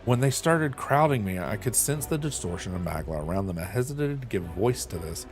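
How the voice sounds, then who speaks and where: A man's voice: on the low side, expressive, a little fast, over music. A young man streaming a game, reading the dialogue aloud and chatting in a loose, self-mocking way.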